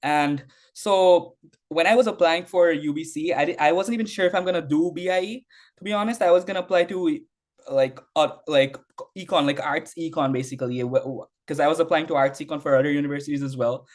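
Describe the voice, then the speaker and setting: A man's voice: a little high, a little fast. A young man from Bangladesh, a university student, answering interview questions casually with frequent fillers and restarts. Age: young adult. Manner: rambling, heavy use of "like", frequent restarts.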